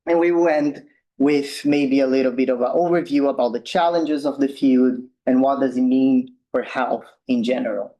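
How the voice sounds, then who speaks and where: A young man from Brazil with a Brazilian Portuguese accent, giving a relaxed science talk as a postdoctoral researcher, with ums and slightly loose non-native phrasing. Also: medium pitch, moderate pace, low-quality recording. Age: young adult. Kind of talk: informal science presentation.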